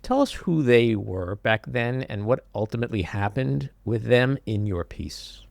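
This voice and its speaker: A man hosting a podcast interview, asking questions in a measured, earnest way. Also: mid-range voice, animated, vocal fry, darkish tone, dry recording. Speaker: podcast host. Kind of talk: interview conversation.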